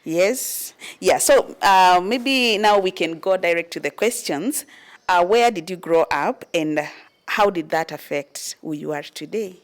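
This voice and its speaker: Woman host, slightly low voice, earnest and direct with viewers. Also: lively intonation, unhurried. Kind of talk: show introduction and interview.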